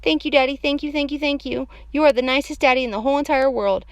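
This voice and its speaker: Woman as an excitable high-pitched little girl. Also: brisk, dry recording, close to an everyday voice. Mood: grateful, happy. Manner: emphatic, repetitive, childlike phrasing. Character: excitable, pleading, stubborn little girl on holiday. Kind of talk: children's audiobook character voice.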